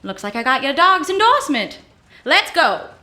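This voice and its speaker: Radio-drama heroine: young, no-nonsense, high and very animated, slight background noise. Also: female voice, normal speed, full character voice. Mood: brisk, reassuring. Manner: no-nonsense, wry, commanding. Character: brisk, plucky young woman, exasperated and practical. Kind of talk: old-time radio adventure drama acting.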